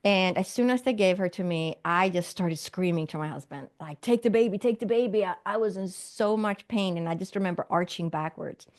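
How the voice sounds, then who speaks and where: A woman's voice: fairly low pitch, brisk, hardly any pauses, darkish tone. A middle-aged woman from Sweden, a physician and medium, telling her story earnestly in an interview with light laughs.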